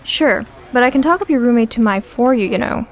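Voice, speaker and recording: A woman voicing a confident, teasing high school girl in an audiobook drama. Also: animated, brisk. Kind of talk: audiobook character acting.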